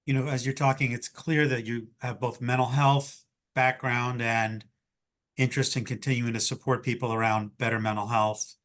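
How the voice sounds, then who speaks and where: Male interview host, American accent, average pitch, conversational delivery on a video call. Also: little pitch movement, normal speed, a bit bright. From New York, United States. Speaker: host introducing a new team member.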